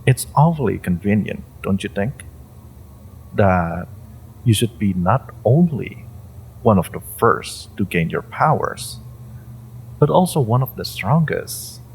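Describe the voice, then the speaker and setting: A man playing a suspicious, coldly patient agency interrogator in a dramatic audio roleplay, speaking deliberately and sardonically with pointed pauses. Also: fairly low pitch, expressive, vocal fry, a little hiss or hum, exaggerated voice, rough recording. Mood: sardonic, accusing.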